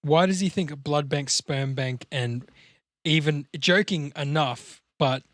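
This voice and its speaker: A man's voice: fairly high pitch, a little slow, a bit bright, dry recording, studio quality. A man hosting a casual talk, explaining acting in a direct, coaching way with casual swearing. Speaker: podcast host explaining acting.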